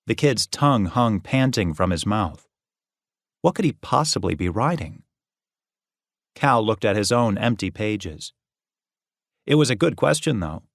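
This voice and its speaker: A man reading a novel as an audiobook narrator, expressive and wry. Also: on the low side, no echo, clean studio sound. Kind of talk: scripted fiction narration.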